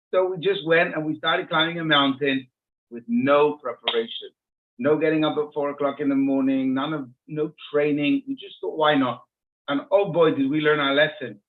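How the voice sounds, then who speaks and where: A man from Israel with a slightly high voice speaks as a rabbi being interviewed. The sound is thin and muffled, like a phone line. He tells stories in a conversational way, circling back to rephrase his points. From Israel, born and raised in Jerusalem. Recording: muffled phone-like narrowband audio.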